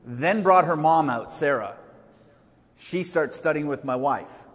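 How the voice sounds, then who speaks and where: A loud, high-pitched middle-aged man preaching from a pulpit. His chatty, joking sermon rolls out into a big church hall, and a long echo trails after each line. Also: expressive, a little slow. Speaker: pastor. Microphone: pulpit microphone.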